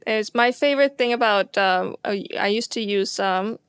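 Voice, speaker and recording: A woman with a Swedish accent explains astronomy in an eager, rambling way, pausing on fillers and restarting her sentences. Her voice sits at an average pitch. The recording is close and dry, with no room sound. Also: clear, resonant tone, no echo. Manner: enthusiastic, many fillers and restarts. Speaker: co-host, astronomer. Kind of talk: casual science chat.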